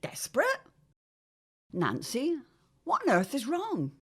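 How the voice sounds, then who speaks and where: A woman's voice: high-pitched, wildly swooping pitch, slow, husky, full character voice. An actress playing an arch, theatrical middle-aged mother with grand, witchy airs in a period audio drama. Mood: playfully witchy.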